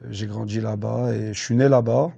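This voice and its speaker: A man in his thirties from France, telling his life story as an interview guest, halting and full of fillers and restarts. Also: lowish voice, steady tone, creaky, dark tone, breathy edge, highs cut off. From Dreux, France.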